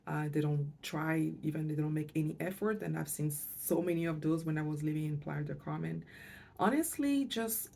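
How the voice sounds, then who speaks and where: Casting: middle-aged female vlogger, mother tongue, deep warm voice, hesitant and reflective delivery. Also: normal speed, creaky. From Canada, moved there with parents, lived in Montreal. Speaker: financial coach and vlogger. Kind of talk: personal vlog talk to camera.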